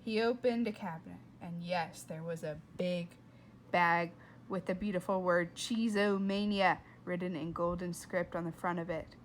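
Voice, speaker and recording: A woman reading a children's book aloud with expressive, playful and dramatic narration. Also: lowish voice, normal speed, a little hiss or hum, rough recording. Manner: dramatic, playful delivery with whispers and asides. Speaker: read-aloud storyteller.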